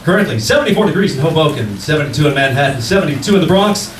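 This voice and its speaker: A man's voice: on the high side, expressive, rapid-fire, lightly acted. A man playing a genial 1940s-style radio announcer, reading a scripted programme. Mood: brisk. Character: smooth genial old-time radio announcer. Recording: echoey distant stage pickup, noisy.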